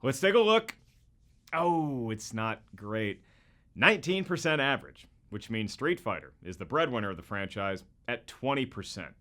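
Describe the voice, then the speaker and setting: Animated, wry man narrating with big pitch swings. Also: moderate pace, noisy background. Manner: wry, joking, exaggerated emphasis. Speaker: host of a movie comparison video. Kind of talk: scripted comedic narration.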